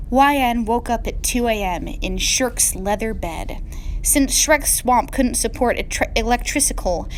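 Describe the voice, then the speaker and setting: A woman's voice: medium pitch, rough recording. A woman hosting and narrating a theatrical reading of parody fan fiction. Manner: theatrical, deliberately garbling misspelled words. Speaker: channel host and narrator. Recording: clear background noise.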